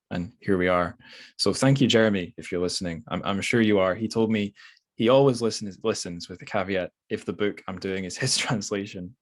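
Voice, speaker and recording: Low-pitched man hosting a casual book chat. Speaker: podcast host. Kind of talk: casual book discussion interview.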